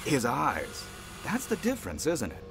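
An actor playing an eager, practical male engineer in an audio drama, with a polite, animated manner. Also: medium pitch, measured pace, creaky, full character voice. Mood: eager, amazed. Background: music.

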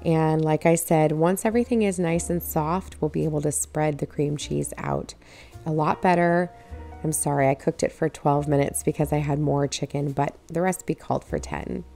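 A woman narrating her home cooking video in a relaxed, step-by-step way, walking viewers through each recipe stage. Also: low-pitched. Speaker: cooking channel host.

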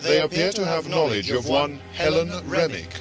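A man voicing a calm, precise computer associate in an audio drama, speaking formally and in a measured way. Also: on the high side, over loud music, lightly acted.

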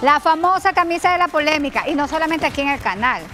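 A woman's voice: sits high, lively intonation, fast talker, pushed and bright. A woman in her thirties with an Ecuadorian accent, hosting a cooking segment in a TV studio kitchen. She chats and teases her guest. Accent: Ecuadorian Spanish. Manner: chatty and teasing, exclaims. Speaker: fitness cooking host. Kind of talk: lively TV cooking chat. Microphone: lapel. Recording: TV studio with music bed.

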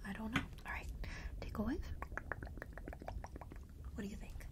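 A woman's voice: a little high, a little slow. Whispering woman in ASMR shop roleplay. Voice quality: breathy, mostly unvoiced whisper. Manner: coaxing, chatty, checks in often with the listener. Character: witchy perfume shop seller, friendly and persuasive. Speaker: ASMR performer playing a fragrance shop keeper. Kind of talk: whispered ASMR roleplay, casual sales talk to one customer.

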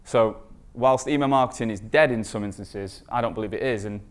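A man's voice: lowish voice, a little fast. Man giving a conference presentation. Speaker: conference speaker, recruitment marketing expert.

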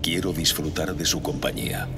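Deep-voiced, stately space marine commander. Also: male voice, expressive, moderate pace, vocal fry, full character voice. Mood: earnest, firm. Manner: formal, ceremonious. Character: noble, stately male chapter master of space marines. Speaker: voice actor. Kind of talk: solemn video game cutscene acting. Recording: loud music behind. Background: loud cinematic music.

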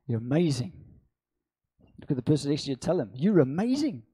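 A man's voice: fairly high pitch, very animated, a little fast, warm tone, breathy edge. A middle-aged man preaching a sermon, emphatic, repeating short phrases and drawing out the stressed words, speaking into a handheld microphone. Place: church hall with a seated congregation. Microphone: handheld microphone on stage.

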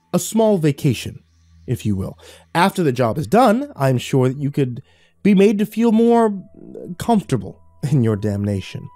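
A man's voice: mid-range voice, dry recording. A man voicing a mocking fallen angel. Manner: smug, taunting, soft then screeching. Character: fallen angel male, mocking, cruel, unhinged. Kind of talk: audio drama storytelling.

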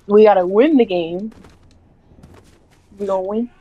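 A young woman from South Carolina talking over a game voice call, her voice sitting at an ordinary middle pitch. She sounds relaxed and laid-back, keeping her answers short and a little guarded. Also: measured pace, muffled recording. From South Carolina, USA. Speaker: guest gamer. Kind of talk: casual chat over voice call.

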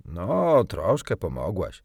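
A man's voice: deep voice, wildly swooping pitch, takes it slowly, creaky, darkish tone, breathy edge, no room sound, full character voice. A cocky storybook boy, acted out by a man reader, boastful and childlike. His delivery swings widely and every word is cleanly recorded, close and dry. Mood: boastful, gleeful. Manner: gleeful crowing, offhand.

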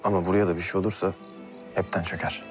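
An actor plays a restless young man who talks quickly in casual slang, with a fairly low voice. Clear music plays beneath him outdoors at night. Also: level tone, close to an everyday voice. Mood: tender. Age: young adult. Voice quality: fast, slangy speech. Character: earnest young man, restless, protective friend. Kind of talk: TV series acting. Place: outdoors at night, town square. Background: score music.

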